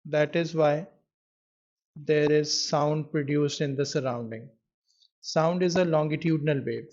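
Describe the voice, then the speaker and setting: A man tutoring in physics, explaining an exam question in a measured way with pauses as he writes. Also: on the high side, steady tone, a little mellow. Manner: measured, pausing while writing out answers. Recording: dull lo-fi microphone sound.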